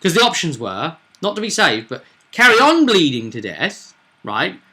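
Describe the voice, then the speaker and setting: A man with a bright, forward voice talks through a tabletop roleplaying game as its game master. He explains things in a casual, conversational way and keeps looking for agreement with little tag questions. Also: on the high side, wildly swooping pitch, moderate pace.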